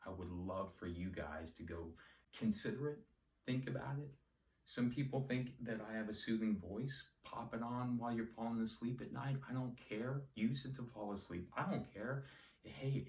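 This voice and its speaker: A man teaching word origins in a relaxed, rambling, self-deprecating way. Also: lowish voice, far from the mic. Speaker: Latin etymology teacher. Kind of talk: casual solo etymology lesson. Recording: echoey room, voice off-mic.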